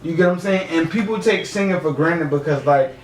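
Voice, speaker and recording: Male podcast guest, slightly high voice, slangy and insistent explainer, roomy distant mic. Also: brisk. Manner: slangy, emphatic explaining, repeatedly checks listeners follow. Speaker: podcast guest, singer and rapper. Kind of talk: casual podcast debate. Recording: echoey, distant mic, faint room noise.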